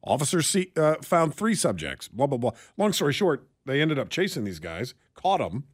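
A man's voice: mid-range voice, sing-song, moderate pace, slightly rough. An American man co-hosting a radio show in casual banter, telling stories in a wry, rambling way.

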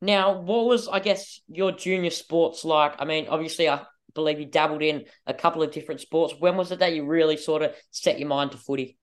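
Male podcast host, high-pitched, enthusiastic interviewer. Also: fairly even intonation, brisk, few pauses. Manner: upbeat, eager questions.

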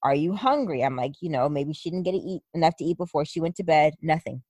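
A young woman talks on her podcast in a loose, blunt, chatty way. Her pitch swoops up and down with a lot of life, and she swears without a second thought. The sound is dry and close. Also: fast, slightly rough, dry recording. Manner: animated, blunt, swears freely. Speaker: podcast co-host. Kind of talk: casual chat.

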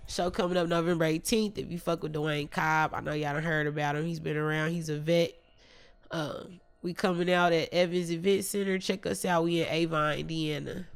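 A woman comedian talking bluntly and laughing often as a podcast guest; she says her voice is deep. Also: fairly even intonation, moderate pace, smooth. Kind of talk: candid podcast chat.

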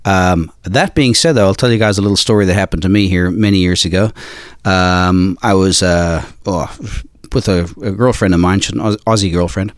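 Deep-voiced man telling a long story. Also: moderate pace, hardly any pauses, dry recording, clean studio sound. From South Africa. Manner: rambling, many fillers, laughs easily. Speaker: off-road shop owner, podcast guest. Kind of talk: casual storytelling chat.

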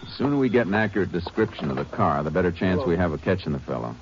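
An actor playing a calm, steady Texas Ranger who patiently questions a witness in a 1950s radio drama. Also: male voice, deep voice, racing, a crowd in the background. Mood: steady questioning. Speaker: narrator and lead. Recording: dull old radio broadcast with faint hiss.

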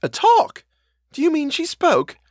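A man puts on a kindly older housekeeper, pitched high and swooping with feeling. She is simple, earnest and easily startled, asking her anxious questions in a storybook reading, close and dry. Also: huge pitch swings, moderate pace, dry recording, heavily acted. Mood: stupefied. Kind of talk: audiobook character dialogue.

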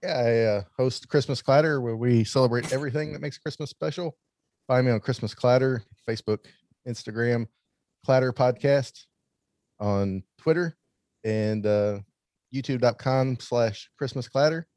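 A man's voice: mid-range voice, normal speed, darkish tone, dry recording, studio quality. A man casually plugging his show as a podcast host.

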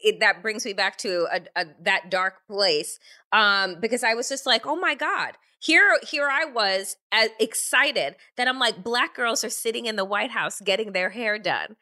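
A woman hosting a conversational interview, animated and talking in quick restarts and asides. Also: mid-range voice. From Jamaican family. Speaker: interview host.

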